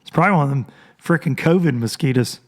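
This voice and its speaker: A man hosting a casual podcast, hoarse and rambling, chatting loosely to his listeners into a microphone. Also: fairly high pitch, quick pace. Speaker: podcast host. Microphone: studio microphone.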